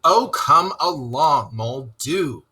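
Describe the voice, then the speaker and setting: A storybook reader voicing a cheerful, brisk rat who coaxes and hurries along a friend. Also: medium pitch, lively intonation, sharp tone, husky, pitched above the performer's own voice, heavily acted. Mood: cheerful coaxing.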